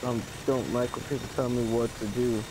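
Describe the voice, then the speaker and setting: A man's voice: medium pitch, flat delivery, a little fast, background noise. A young man joking around in voice chat while gaming, bossy and slangy, insisting he is the boss. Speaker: gamer in voice chat. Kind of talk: casual gaming banter.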